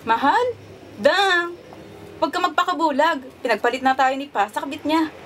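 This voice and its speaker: A woman's voice: high-pitched, moderate pace, a bit bright, slight background noise. A woman acting a bitter, outspoken young elder sister in a radio drama.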